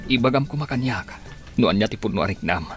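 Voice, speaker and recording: A man's voice: on the low side, lively intonation, natural voice. A man with an Ilocano accent playing a humble young farmer rejected in love, pleading and emotional in a radio drama. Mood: heartbroken, pleading. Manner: pleading, emotional, drawn-out delivery. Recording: music bed under the voice.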